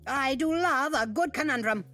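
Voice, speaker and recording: A man's voice: very high voice, normal speed, bright, forceful tone, soft background music. A man voicing a small, fussy, snobbish inventor creature in a video game, pompous and precise with rolled Rs. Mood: brisk, pleased. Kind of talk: video game character voice lines.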